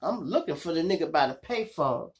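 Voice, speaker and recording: A man with a Chicago accent and a gravelly voice, hosting a show and telling street stories in slangy, animated style, acting out the dialogue. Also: fairly high pitch, fairly quick. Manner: animated, slangy, addressing listeners as y'all. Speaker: online show host and storyteller. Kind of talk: casual street storytelling with acted-out dialogue.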